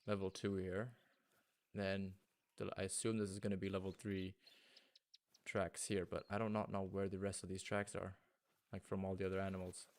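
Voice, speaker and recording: Deep monotone guy streaming a hunting game, german first language, chatting with chat as he plays. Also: normal speed. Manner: thinking aloud, greeting chat viewers. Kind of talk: casual gaming livestream chat.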